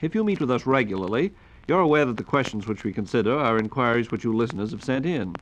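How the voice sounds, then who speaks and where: A man's voice: mid-range voice, animated, fast. A man hosting a 1940s radio program, speaking formally and courteously as an announcer. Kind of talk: 1940s radio announcing, reading listener questions. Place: radio studio. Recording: noisy 1940s radio recording.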